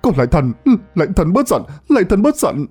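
A man voicing an excitable young village man, talking in a shrill, pleading, frantic way. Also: fairly quick, breathy edge, faint music behind, full character voice.

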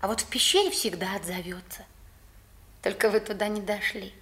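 A woman's voice: mid-range voice, normal speed, sharp tone, slightly rough. A woman playing an earnest, eager young girl in a 1960s radio drama. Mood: earnest, instructing. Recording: vintage 1960s radio play recording.

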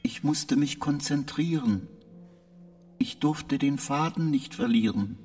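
An actor in a radio drama playing a male-voiced artificial intelligence, deliberate and strained. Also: fairly high pitch, a little mellow. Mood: strained, focused. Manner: slow, deliberate. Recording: long reverb, music behind.

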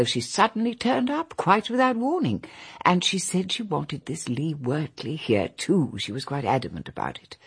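An actress playing a genteel, anxious, tactful old English grandmother in a radio drama, with a husky, breathy voice. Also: deep voice, full character voice. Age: older.

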